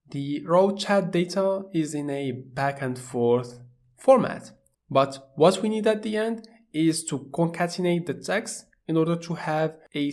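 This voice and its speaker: A man from Morocco, narrating a tutorial course in a plain, steady explaining manner. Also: on the high side. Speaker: course instructor.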